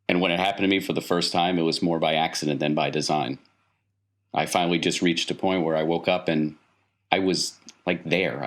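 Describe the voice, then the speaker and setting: A man playing a patient but evasive wandering mystic uncle in a tabletop game, reflective and given to repeating himself. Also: extremely low pitch.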